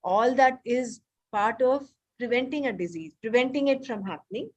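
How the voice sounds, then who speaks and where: A woman leading a casual scripture study group over a video call, explaining terms patiently and reading passages slowly. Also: fairly high pitch, a little mellow, highs cut off. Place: home, remote meeting.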